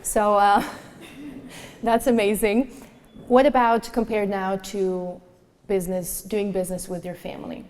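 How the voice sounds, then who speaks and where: A woman's voice: mid-range voice, steady tone, unhurried, a little mellow. A woman moderating a panel, upbeat and encouraging as she puts questions to the guests. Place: conference hall on stage. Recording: reverberant conference hall.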